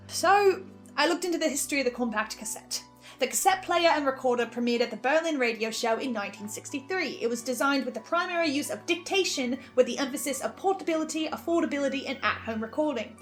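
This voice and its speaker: A woman giving first-person commentary on an audio drama as host, animated and self-questioning in a halting way. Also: high voice. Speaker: host of a podcast listener recap series.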